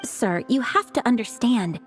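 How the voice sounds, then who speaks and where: A prim librarian in a fantasy game. She is formal and firm, in a slightly high, lively voice. Soft music is faintly behind her. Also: measured pace. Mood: firm, flustered. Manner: formal, officious. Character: prim, rule-bound female librarian, polite but firm.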